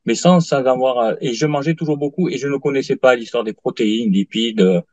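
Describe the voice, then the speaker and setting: A man in his late fifties giving a halting testimonial in an interview, full of fillers and restarts. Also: mid-range voice, a little mellow, no room sound. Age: 50s. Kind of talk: hesitant interview answers. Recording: dull lo-fi dry sound.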